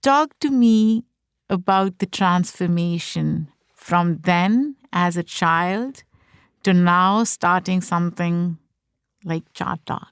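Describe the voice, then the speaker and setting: A woman hosting an interview podcast, asking probing follow-up questions. She speaks slowly, and her voice is smooth and clear. The sound is dry and close. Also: fairly low pitch, no room sound. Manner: probing, prompts the guest to say more. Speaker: podcast host.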